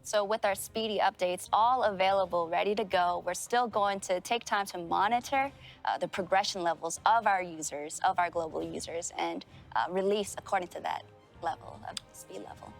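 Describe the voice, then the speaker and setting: Gravelly-voiced woman interpreting formally. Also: on the low side, faint music behind. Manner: measured, formal phrasing. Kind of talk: consecutive interpreting.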